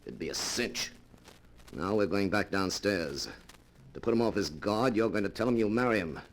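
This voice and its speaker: An actor playing a cold-blooded, scheming fugitive husband, bossy and hard-boiled, in old-time radio drama style. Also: male voice, on the low side, expressive, normal speed, poor audio. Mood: cold, persuasive. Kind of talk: golden age radio drama acting.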